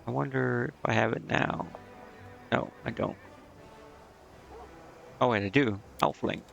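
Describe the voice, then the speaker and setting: A man streams a game with a creaky, fry-laden voice at a middle pitch. He talks casually and haltingly, half muttering as he thinks aloud. Faint music plays behind him. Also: fairly bright tone, lo-fi sound. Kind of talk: casual live gameplay commentary.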